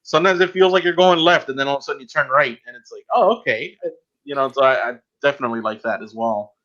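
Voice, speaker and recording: A man hosting a casual podcast, talking in a hesitant, halting way. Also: mid-range voice, sharp tone, dull audio. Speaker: podcast host.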